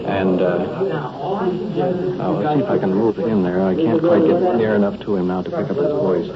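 A man's voice: deep voice, expressive, normal speed, over a noisy crowd. A man reporting live as he rides along with police, improvising hesitantly in formal wording, in the style of an old radio documentary. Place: on location in police car and houses. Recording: muffled, very noisy old field recording. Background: loud engine and ambient noise.

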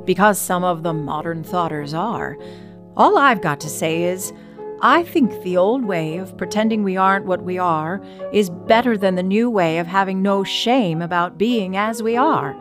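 A woman's voice: fairly low pitch, lively intonation, moderate pace, music in the background, close to an everyday voice. An actress voicing an independent, opinionated woman of the early twentieth century in a dramatic letter reading, candid and reflective. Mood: musing, frank.

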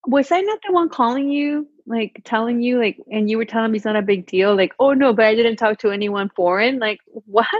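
A woman's voice: on the high side, fairly quick, few pauses, no echo. Chatty woman, dry muffled sound. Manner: chatty, animated storytelling with quoted exchanges. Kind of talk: casual chat. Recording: slightly muffled, lo-fi audio.

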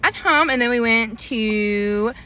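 Giggly podcast lady, kinda high voice, super bright and chatty. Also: slow, clean tone, no echo. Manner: giggly, chatty, talks straight to listeners. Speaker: podcast co-host. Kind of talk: casual chat among friends.

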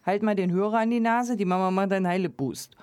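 This is a woman in her thirties with a mid-pitched voice. She chats freely and tells personal stories in a relaxed, anecdotal way. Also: fast, clean tone. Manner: chatty anecdotal storyteller. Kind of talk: casual chat.

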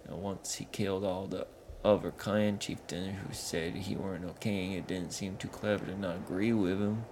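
A man voicing a gruff, blunt male troll henchman who talks loudly in broken rustic grammar, over a noisy background hiss. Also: low-pitched, fairly even intonation, moderate pace, natural voice. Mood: gossipy.

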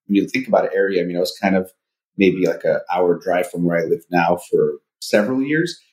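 A man's voice: low voice, fairly quick, a little mellow. A man in his forties from Southern California, a psychologist and podcast guest who first spoke Farsi, talking thoughtfully with many fillers. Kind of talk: casual podcast interview.

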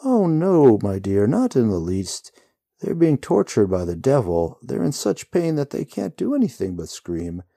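A man's voice: medium pitch, huge pitch swings, a little slow, gravelly, dark tone, slightly rough, no echo, clean studio sound, voice pushed up, heavily acted. Man putting on a cheery folksy woman rider. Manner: chatty, folksy, drawling asides. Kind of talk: storybook reading.

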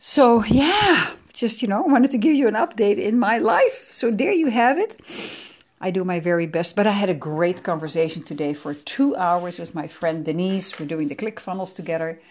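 A woman's voice: medium pitch, animated, moderate pace. A middle-aged woman from the Netherlands with a Dutch accent, hosting her own podcast in a chatty, rambling way, sore from aching ribs. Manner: chatty, rambling, self-correcting. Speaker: podcast host. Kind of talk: casual solo podcast monologue.

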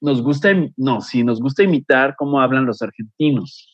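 A man's voice: mid-range voice, normal speed, no room sound, muffled recording. A man from Mexico who hosts a casual interview and asks playful, teasing questions. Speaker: host.

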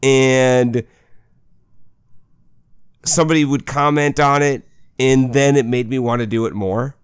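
Minnesota man, smooth and dry. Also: mid-range voice, fairly even intonation, measured pace, no echo. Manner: reads listener comments aloud, earnest. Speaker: podcast host. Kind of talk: casual recovery podcast chat.

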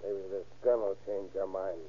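An actor playing a menacing, sarcastic gang boss in a 1930s radio crime drama, with a gruff, bullying delivery. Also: male voice, sits low, steady tone, normal speed, warm tone, a little hiss or hum, natural voice. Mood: threatening. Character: menacing male gang leader, hard-boiled robber. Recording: tinny, muffled old radio recording.

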